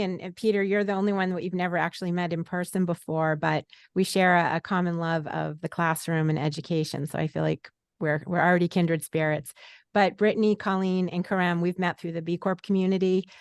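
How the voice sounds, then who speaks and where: Clear, smooth woman moderating a panel. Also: lowish voice, dry recording, clean studio sound. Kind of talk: conversational webinar moderating.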